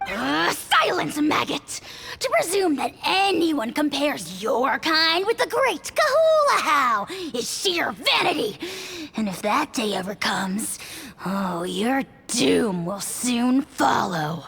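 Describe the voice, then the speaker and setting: A woman voicing an arrogant tiny male dragon spirit who declares his own greatness in theatrical shouts. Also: high voice, very animated, slow pace, vocal fry, full character voice. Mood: ominous. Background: game music.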